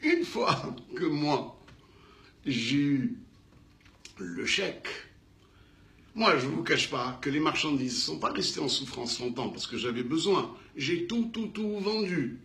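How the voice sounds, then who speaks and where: Lively storytelling man, bright echoey voice. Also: a little high, slow pace. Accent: North African French. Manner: lively, acts out dialogue, emphatic repetitions. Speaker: small business owner telling an anecdote. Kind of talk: animated storytelling.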